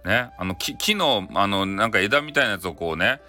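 Lively man storyteller with Hakata accent. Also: on the low side, normal speed, faint music behind. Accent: Hakata Japanese. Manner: chatty, playful, with spoken sound effects. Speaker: storyteller, audio channel host. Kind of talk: casual improvised folktale telling in dialect.

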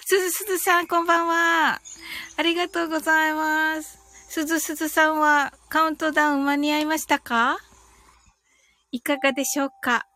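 High-voiced woman chatting casually on a livestream. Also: fairly bright tone. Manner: halting, filler-heavy, short phrases with frequent "ne" tags; chatty, prone to giggling. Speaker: livestream host. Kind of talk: casual livestream chat, greeting listeners and reading comments.

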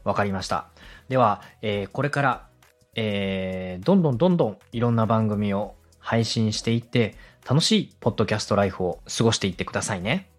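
Male podcast host and coach, lowish register, courteous and supportive, soft music bed. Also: a little fast. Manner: polite, encouraging. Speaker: podcast host and radio director. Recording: music playing behind the voice. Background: background music.

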